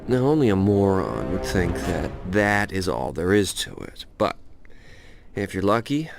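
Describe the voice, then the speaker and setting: A man's voice: low voice, very animated, measured pace, vocal fry, heavily acted. An actor playing a cynical, cocky young male assassin who narrates a video game in a crude, sardonic way, speaking straight to the listener. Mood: boastful, wry. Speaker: narrator and protagonist. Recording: loud music over the voice.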